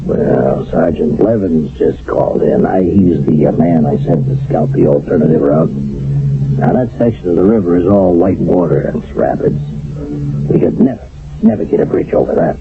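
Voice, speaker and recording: A harried army captain in a radio drama. His low, gravelly voice deliberates haltingly yet keeps its authority, through a muffled old radio recording. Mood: concerned. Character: hesitant, harried army captain, resigned to orders.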